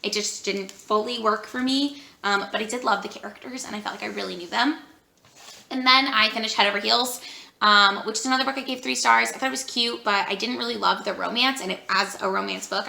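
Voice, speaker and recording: A woman vlogger talking casually about books she read, rambling with lots of fillers and a voice that is going hoarse. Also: medium pitch, sharp tone, reverberant. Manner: chatty, rambling with many fillers. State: losing her voice. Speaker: book vlogger. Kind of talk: casual vlog chat.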